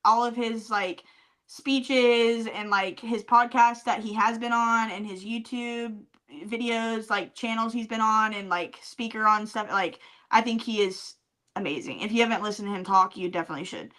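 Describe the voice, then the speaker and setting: A woman's voice: on the high side, steady tone, normal speed, a bit bright. A woman co-hosting a podcast in casual, playful banter, speaking emphatically and with plenty of slang. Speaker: podcast co-host. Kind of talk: casual banter between friends.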